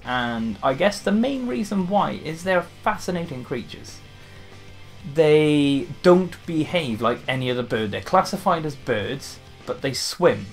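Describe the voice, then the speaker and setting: A young man with a faint Welsh lilt talks in a loose, rambling way, doubling back on his words with plenty of "uh" and "you know". His voice sits at an ordinary middle pitch. Soft guitar music plays faintly underneath. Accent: light Welsh. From Wales. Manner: chatty, rambling, frequent restarts and fillers. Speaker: gaming YouTuber. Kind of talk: casual Q&A chat to camera.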